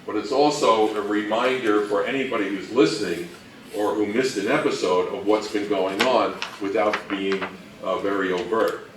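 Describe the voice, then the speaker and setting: A man giving an informal, explanatory talk on radio history as a presenter, speaking somewhat haltingly. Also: fairly low pitch. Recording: roomy, distant mic, faint noise.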